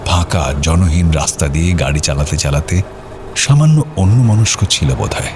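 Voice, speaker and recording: A man's voice: low voice, lively intonation, normal speed, noisy background. A man narrating an audio drama as storyteller, in a dramatic scripted reading style. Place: studio. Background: music.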